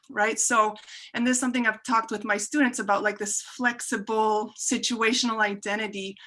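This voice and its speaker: Woman from Chile, video call, bright clear tone, hesitant, lots of "right?". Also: medium pitch. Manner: hesitant, many fillers, seeks agreement with "right?". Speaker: audience member asking a question. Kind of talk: asking a question at a video-call reading.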